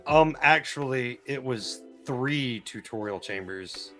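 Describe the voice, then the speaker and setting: A man's voice: medium pitch, expressive, takes it slowly, fairly bright tone. A man chatting casually as a player in a live-streamed trivia game. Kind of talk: casual chat. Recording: faint music behind the voice. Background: faint music.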